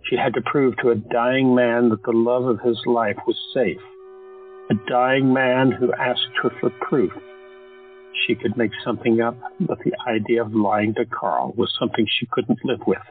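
A man narrating an audio drama in a steady storytelling manner. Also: medium pitch, slightly rough. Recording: tinny phone-like sound, faint music.

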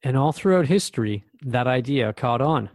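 A man's voice: mid-range voice, animated, moderate pace, a little mellow, no echo, studio quality, natural voice. A man playing a thoughtful, curious radio host in a radio drama, questioning a spirit guide in a reflective, conversational way.

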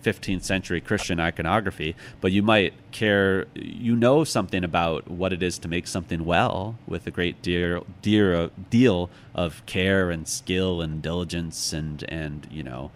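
A man's voice: low voice, a little slow, hardly any pauses. A middle-aged American man talking casually as an interviewed author, reflective and thinking aloud. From United States, Chicago. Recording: clearly noisy background.

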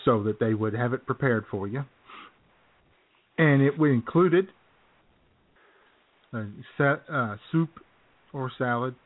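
A man of medium pitch hosts a comedy radio show, chatting casually and irreverently. He jokes with mock outrage, and the audio is narrow, like a phone line. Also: takes it slowly, long pauses. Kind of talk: casual comic banter.

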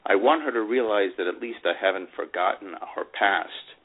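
A man's voice: lowish voice, moderate pace. A man playing a proud, lovelorn older divorced man, reading his memoir in an earnest storytelling manner. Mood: tender. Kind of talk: dramatic first-person memoir reading. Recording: phone-like narrow radio sound.

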